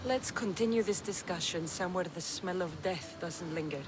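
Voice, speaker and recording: A woman's voice: fairly low pitch, normal speed, music in the background, lightly acted. A woman playing a fierce, earnest young Greek mercenary and protective daughter in a dramatic video game. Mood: warm, resolute.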